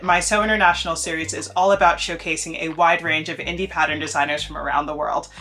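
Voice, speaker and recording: A woman's voice: low-pitched, sharp tone. An enthusiastic young woman chatting on her sewing vlog, a little congested from allergies. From United States. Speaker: sewing vlogger. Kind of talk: casual upbeat vlog talk.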